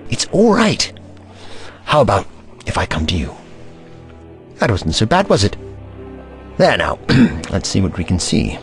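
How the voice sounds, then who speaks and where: A man's voice: fairly low pitch, huge pitch swings, soft background music, heavily acted. A man playing a pompous eccentric scientist. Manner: pompous, lecturing, brisk. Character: haughty eccentric male time-traveling scientist, protective. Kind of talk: audio drama acting.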